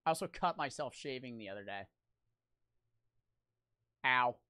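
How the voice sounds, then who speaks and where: A young man streaming casually, talking fast and animated with lots of swearing, close to the mic. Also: mid-range voice, clean tone, no echo.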